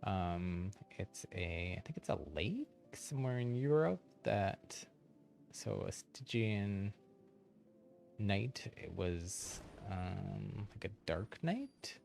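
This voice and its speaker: A man's voice: fairly low pitch, animated, slow pace, vocal fry, darkish tone. A man chatting casually as he streams a video game, rambling and thinking aloud. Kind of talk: casual livestream chat while playing.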